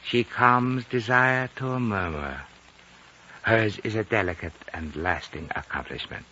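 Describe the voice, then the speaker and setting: Deep, courtly old sage. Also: male voice, pushed and bright. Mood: serene, courtly. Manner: formal, measured, flowery phrasing. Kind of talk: 1950s radio drama acting. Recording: dull 1950 radio recording, faint noise.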